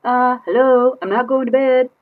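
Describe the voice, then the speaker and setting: A woman voicing a stubborn, defiant little girl from a picture book, emphatic and drawn-out in a sing-song way. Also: high-pitched, steady tone, phone-quality sound, voice pushed up, natural voice.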